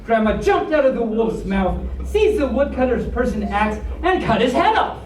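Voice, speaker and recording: A storyteller of unclear sex reading a bedtime story aloud to a small audience in an animated style. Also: mid-range voice, moderate pace, a little hiss or hum. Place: echoey room at a convention. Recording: echoey room, camera mic far off.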